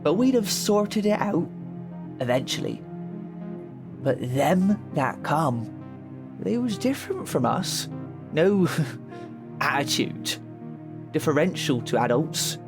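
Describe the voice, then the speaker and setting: A man's voice: on the high side, big pitch swings, slow, long pauses, over music, full character voice. A man playing an aggrieved, foul-mouthed teenage son in an audio drama, slangy and sardonic. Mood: sardonic storytelling.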